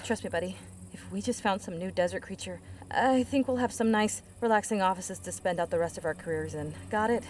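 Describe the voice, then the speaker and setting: A woman's voice: mid-range voice, brisk, darkish tone, a little hiss or hum, close to an everyday voice. An actress playing a determined, protective woman hiker in an audio drama, urgent, foul-mouthed and reassuring under pressure. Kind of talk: full-cast audio drama acting.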